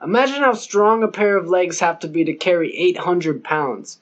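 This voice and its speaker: A man's voice: high-pitched, expressive, normal speed, dull audio. A man voicing a blunt male police lieutenant who explains things matter-of-factly. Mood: explaining.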